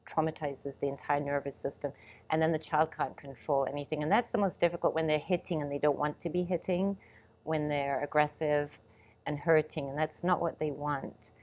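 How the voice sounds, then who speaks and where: A woman born in Ghana and raised in England, a guest answering interview questions in a thoughtful, measured way with soft laughs. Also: sits low. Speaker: guest, movement and reflex specialist.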